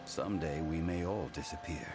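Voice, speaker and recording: An actor playing an ancient, wise god in mortal guise, speaking formal, measured video game dialogue in a solemn, reflective manner. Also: male voice, extremely low pitch, music in the background. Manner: formal, measured, contemplative phrasing.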